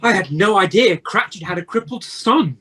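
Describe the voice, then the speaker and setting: A woman's voice: medium pitch, expressive, moderate pace, a bit bright, slightly rough. A woman playing a troubled old male miser in an amateur radio play, earnest and full of challenging questions. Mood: anxious. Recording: faint noise on home call.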